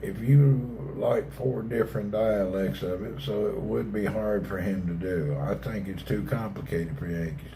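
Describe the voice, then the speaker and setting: A middle-aged man with a Southern American drawl hosts his own live stream, joking with viewers and cussing casually. His voice is mellow and dark, not bright. A faint background hum runs under his talk. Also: fairly low pitch, steady tone, a little slow, creaky, few pauses, low-quality recording. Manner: folksy, joking, reads chat aloud, swears casually. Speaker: livestream host.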